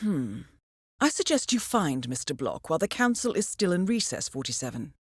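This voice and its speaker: Cool shrewd lady spy handler for a game, formal n dry wit, pitch moves around a lot, very dry close sound. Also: sing-song, husky, no room sound. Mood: composed, informative. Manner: measured, formal, coolly understated with dry wit. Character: composed, shrewd female intelligence handler briefing an assassin. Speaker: mission handler guiding an assassin. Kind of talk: scripted video game voice acting, briefing narration.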